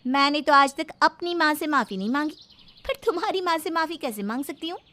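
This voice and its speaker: Lady acting a snooty young wife in a story, high voice, braggy and taunting. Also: expressive, fairly quick. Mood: haughty. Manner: haughty, taunting. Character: arrogant, boastful, quarrelsome young daughter-in-law.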